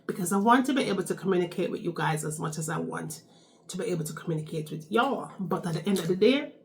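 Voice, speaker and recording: A woman's voice: on the low side, expressive, fast. A young Jamaican woman with a Jamaican accent, chatting casually in a storytime vlog, repeating phrases and tagging lines with "okay?". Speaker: vlogger.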